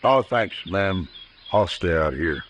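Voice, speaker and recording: A low-pitched, plain-spoken American rancher from an old radio western. He talks in a folksy frontier drawl and is stubbornly matter-of-fact. The recording is old and a little noisy. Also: lively intonation, takes it slowly, fairly bright tone, highs cut off, exaggerated voice. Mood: grave, awkward. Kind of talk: 1950s radio western acting.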